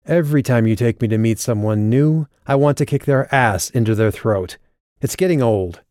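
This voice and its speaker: A man's voice: medium pitch, normal speed, a little mellow, dry recording, studio quality, natural voice. A man voicing a feisty, sarcastic young woman in a fantasy audiobook, with a wry, blunt, casual manner.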